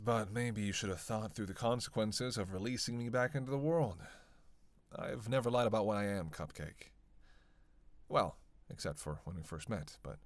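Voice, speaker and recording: A man's voice: medium pitch, a bit bright, no room sound. A man playing a witty, bloodthirsty vampire in a teasing roleplay monologue, sardonic and conversational. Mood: defiant, sardonic. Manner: sardonic, playful, conversational with sighs and pauses. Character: teasing, witty, bloodthirsty male vampire, centuries-worn cynic. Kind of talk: flirtatious dark roleplay monologue to one listener.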